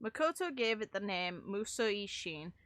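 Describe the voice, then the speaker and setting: A woman's voice: medium pitch, animated, normal speed, a bit bright, no room sound. A woman reading aloud casually with wry, sarcastic asides and the odd stumble, like a streamer reacting to game lore.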